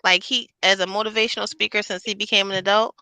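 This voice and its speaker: A woman hosting a podcast in a casual, slangy, animated chat, often laughing. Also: mid-range voice, quick pace, clear, resonant tone, no echo, poor audio. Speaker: podcast host.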